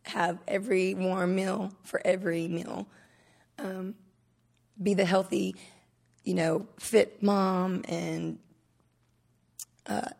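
A woman in her thirties speaks with a raspy, husky voice and a gravelly edge. Her testimony comes out halting and candid, full of restarts. She talks from a conference stage. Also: mid-range voice, darkish tone. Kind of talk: personal testimony talk.

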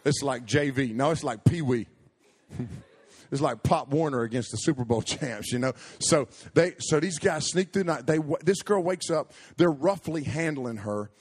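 A man preaching a sermon, telling a story with animated, jokey asides and frequent self-interruptions. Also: medium pitch, normal speed, breathy edge.

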